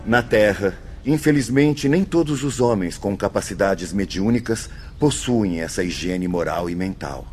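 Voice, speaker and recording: A man's voice: lowish voice, animated, measured pace, hardly any pauses, a little mellow, a little hiss or hum. A man with a Brazilian accent acting a courteous, reverent spirit guide in a radio drama, explaining things formally and earnestly. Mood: grave explaining. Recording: music under the voice.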